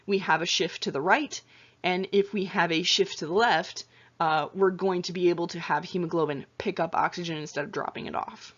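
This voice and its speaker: A woman instructor talking through lecture slides in a casual, chatty way with lots of fillers and self-corrections, her voice getting tired and hoarse. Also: on the low side, muffled recording. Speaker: anatomy and physiology instructor.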